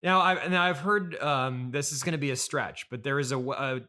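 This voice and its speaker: A man hosting an improvised comedy interview, deadpan, hesitant and politely puzzled. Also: mid-range voice, brisk. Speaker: comedy podcast host and interviewer.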